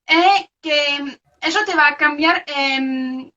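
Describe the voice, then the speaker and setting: A young woman from Belgium with a high, bright voice, speaking Spanish as a second language with plenty of fillers and restarts. The sound is dull and lo-fi, like audio from an online video call. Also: slow pace. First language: not Spanish. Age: young adult. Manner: hesitant, many fillers and restarts. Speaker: researcher guest. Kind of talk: casual interview over a live video stream.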